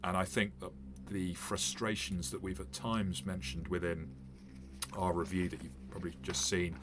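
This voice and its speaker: Deep-voiced man with many fillers. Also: level tone, moderate pace, background noise. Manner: rambling, with many fillers and restarts. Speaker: game master and reviewer. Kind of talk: casual unboxing commentary.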